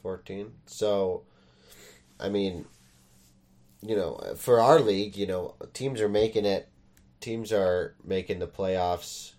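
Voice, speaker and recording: A young man with a cold, his voice very deep and congested. He chats with a co-host in a loose, joking way. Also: fairly even intonation, takes it slowly, a little mellow. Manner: jokey, playful. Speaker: podcast co-host. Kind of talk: casual chat.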